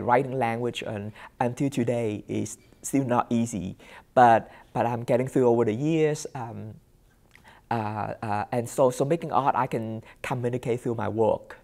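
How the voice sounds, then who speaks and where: A man's voice: medium pitch, creaky, a little mellow. A man in his forties with a Vietnamese accent, an artist answering interview questions and searching for words. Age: 40s. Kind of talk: reflective interview talk. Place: indoor museum gallery. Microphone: lapel.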